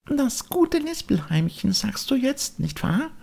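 A tiny storybook cricket, speaks in a very high, thin falsetto. The delicate little creature gently chides and advises. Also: male voice, very animated, slow pace, creaky, slightly rough, full character voice. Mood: gently chiding.

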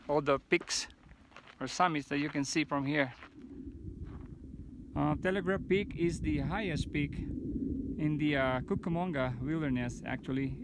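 A man in his thirties filming himself on a mountain hike, talking in a casual, unhurried way with many fillers and restarts, recorded on a phone held at arm's length outdoors. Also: on the high side, vocal fry, noisy background. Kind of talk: casual hiking vlog narration.

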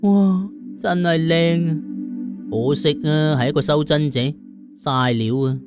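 A man's voice: fairly high pitch, a little slow, over music. A man with a Cantonese accent narrating a comic first-person audiobook in a colloquial, theatrical way.

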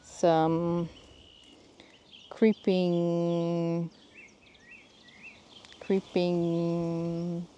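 A Dutch woman filming herself outdoors in her garden with a handheld phone. She talks casually and often pauses to search for plant names. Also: on the low side, completely flat delivery, mellow, slight background noise. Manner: searching for words, thinking aloud. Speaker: gardening vlogger.

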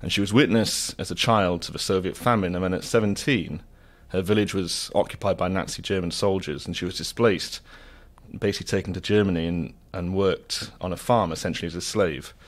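A man's voice: deep voice, a little fast. A man interviewing a guest, asking long, carefully detailed questions in an earnest way. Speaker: interviewer, associate editor.

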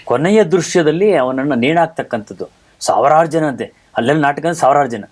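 Guy hosting a chat, kinda high voice, really animated, tells stories with lots of emphasis. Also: brisk. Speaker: talk show host. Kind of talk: animated conversational storytelling about theatre.

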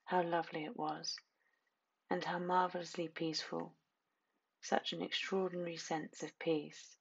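A woman narrating a scripted guided meditation in a soothing, hushed, hypnotic manner, lingering on repeated phrases with long pauses. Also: lowish voice, level tone, creaky, breathy edge, highs cut off.

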